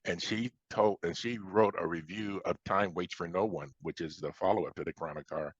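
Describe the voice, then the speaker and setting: An American man from Chicago speaking as an interviewed author, explaining things conversationally in a halting way with repeated starts. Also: low-pitched, fairly even intonation, slightly rough. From Chicago, United States. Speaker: science fiction author being interviewed. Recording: dull, roomy lo-fi sound.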